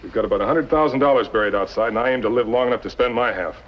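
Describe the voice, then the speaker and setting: Male actor, tough western outlaw, blunt, sceptical, rapid delivery, dull vintage soundtrack. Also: medium pitch, close to an everyday voice. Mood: sardonic, wary. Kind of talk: 1950s television western acting. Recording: muffled old television soundtrack.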